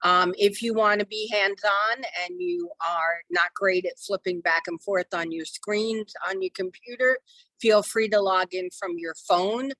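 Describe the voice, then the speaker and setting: A middle-aged woman with a slightly hoarse voice, running a live online training class and talking in a chatty, reassuring way with many ums. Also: mid-range voice, fairly even intonation, a little slow, sharp tone, no echo, rough recording. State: losing her voice. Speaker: real estate software trainer. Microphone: video call.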